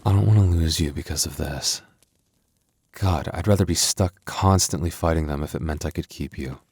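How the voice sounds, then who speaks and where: A man's voice: very deep voice, moderate pace, darkish tone, slightly rough, no room sound, heavily acted. A man playing a young werewolf boyfriend, tender but protective with a tough edge, in an intimate ASMR roleplay. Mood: tender, devoted.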